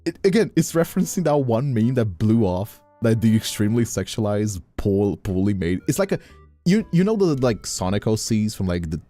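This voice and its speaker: A man chatting casually on a livestream, emphatic and animated, often repeating himself as he makes a point. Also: on the low side, normal speed, soft background music. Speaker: streamer. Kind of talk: casual conversational chat on a livestream.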